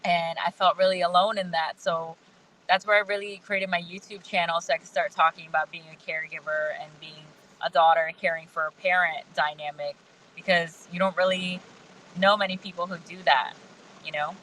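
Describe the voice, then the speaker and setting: She is a woman whose first language is Hindi. Her voice has a bright, sharp ring. She speaks in a reflective, candid way and laughs often. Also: fairly low pitch, steady tone, a little fast, slight background noise, rough recording. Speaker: guest. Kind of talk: casual video-call conversation. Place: outdoors in the rain.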